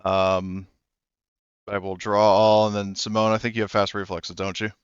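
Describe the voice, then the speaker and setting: A man acting as game master in a tabletop game, talking with frequent pauses and fillers. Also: fairly low pitch, level tone, clear, resonant tone, dry recording, highs cut off. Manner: hesitant, lots of fillers and restarts.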